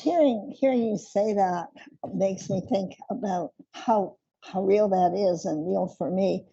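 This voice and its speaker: A woman speaks reflectively on a panel, with many fillers. Her voice is husky and dark. The event recording sounds dull and slightly lo-fi. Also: medium pitch, a little slow.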